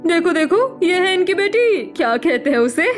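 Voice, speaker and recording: A woman voicing a snooty, mocking high-society lady in a cartoon story. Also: animated, normal speed, faint music behind. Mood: gleefully mocking. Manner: sneering, mocking.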